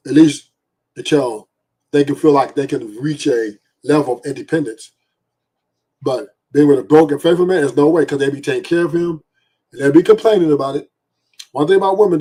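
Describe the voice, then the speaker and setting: A man on a panel, laying out his opinions in measured, drawn-out phrases. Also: on the high side, warm tone, slightly rough. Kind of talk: casual panel debate.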